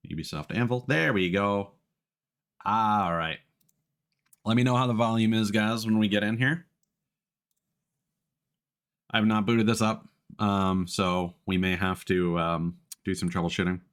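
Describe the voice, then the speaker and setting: A man's voice: fairly low pitch, fairly even intonation, measured pace, pauses often, a bit bright. A man live-streaming a video game, chatting casually with viewers as he goes. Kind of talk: casual live-stream chat with gameplay commentary.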